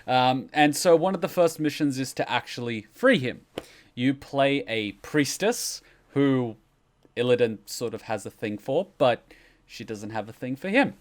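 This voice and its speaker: A man's voice: mid-range voice, lively intonation, unhurried. A man explaining a game's story in casual, chatty, lightly joking reaction commentary. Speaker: streamer explaining game story.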